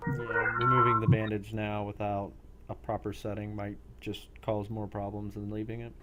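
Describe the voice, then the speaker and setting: A man working as game master, narrating a role play game in a halting way with pauses between phrases. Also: fairly low pitch, flat delivery, heavy background noise.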